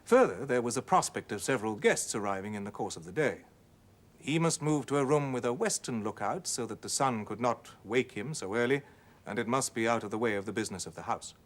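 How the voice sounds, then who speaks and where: A man reads a classic ghost story as scripted narration, in a measured, formal manner with deliberate dramatic pauses. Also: mid-range voice, expressive. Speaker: narrator, audiobook reader.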